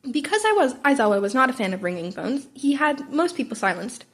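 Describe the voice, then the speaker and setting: Woman reading a fic out loud, bit high and husky, trips over lines and restarts. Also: very animated, brisk. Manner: stumbles and rereads phrases. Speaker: podfic narrator. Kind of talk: amateur fan fiction reading.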